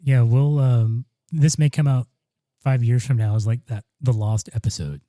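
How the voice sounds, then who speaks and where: A man's voice: medium pitch, moderate pace, mellow, no room sound. A man co-hosting a podcast in casual chat, rambling with many ums and restarts, on a studio dynamic mic. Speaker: podcast co-host. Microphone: broadcast dynamic studio mic.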